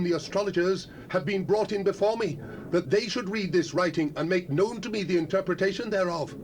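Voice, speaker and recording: A man's voice: high voice, lightly acted. An actor playing a proud but troubled king in a dramatized scripture recording, formal and commanding. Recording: cassette recording with faint hiss.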